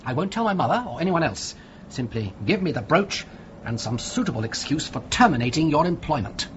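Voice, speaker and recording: An actor playing a haughty, suspicious and peremptory British gentleman in a radio play. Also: a little high, sing-song, a little fast. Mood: coldly accusing. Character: haughty, suspicious, peremptory grown son of wealthy mother. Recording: dull radio recording, faint noise.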